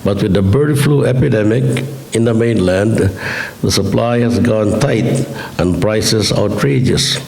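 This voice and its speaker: A middle-aged man gives a dharma talk, his low voice roughened by a chest cold and a touch of gravel. He talks in an easygoing, lightly humorous way. The sound carries the echo of a temple hall. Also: a little slow. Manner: gentle, chatty, lightly joking. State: chest cold, slightly hoarse. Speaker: Buddhist minister.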